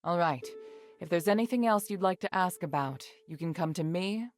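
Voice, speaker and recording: An actress playing a composed adult woman guide in a video game, speaking in a measured, instructive way over music. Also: on the low side, expressive, close to an everyday voice. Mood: calm, helpful. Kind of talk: scripted video game acting.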